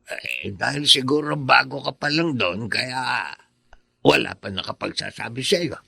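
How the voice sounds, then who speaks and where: A sympathetic, plain-spoken neighbour in a radio drama. He sounds gravelly and folksy, with pitch that rises and falls widely. The recording is dry and close. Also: animated, unhurried, pushed and bright, dry recording, heavily acted. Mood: sympathetic, advising. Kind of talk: Filipino radio drama acting.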